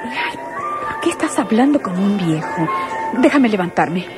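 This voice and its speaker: Radio drama role: shrewd, guarded Mexican cantina hostess, very expressive, music behind. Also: female voice, on the high side, measured pace. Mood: frightened.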